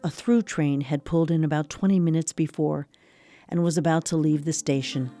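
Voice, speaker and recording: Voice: mid-range voice, steady tone, moderate pace, mellow, faint music behind. A narrator with an American accent reading scripted narration for a radio drama.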